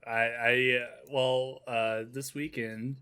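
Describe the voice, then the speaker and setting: A man's voice: medium pitch, flat delivery, takes it slowly, bright, forceful tone, smooth. A man co-hosting a podcast, talking casually about video games. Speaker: podcast co-host. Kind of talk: casual gaming chat.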